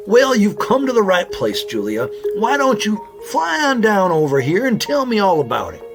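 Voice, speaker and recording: A man plays an earnest raccoon sheriff for a children's audio mystery. The voice is high and swings lively in pitch, folksy and inquisitive, with music behind it. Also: moderate pace, heavily acted. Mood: reassuring, inquisitive. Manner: animated, folksy, wordplay-loving. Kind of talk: children's audio drama acting.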